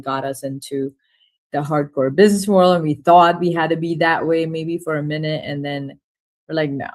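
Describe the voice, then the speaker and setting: A woman with a low voice for her sex talks casually. She speaks as the host of a conversation among colleagues. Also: no echo.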